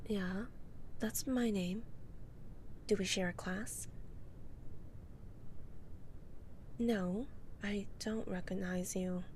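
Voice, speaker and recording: A woman playing a college-age goth friend in an ASMR roleplay, speaking softly, often in a breathy near-whisper, with playful, dry teasing. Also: mid-range voice, slight background noise, natural voice. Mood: guarded, teasing.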